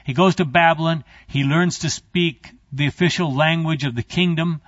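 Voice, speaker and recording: A man's voice: on the high side, normal speed, a bit bright, dry recording. A man teaching a Bible class in a conversational lecture style, wandering into asides and speaking directly to his students. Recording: dry, slightly muffled recording.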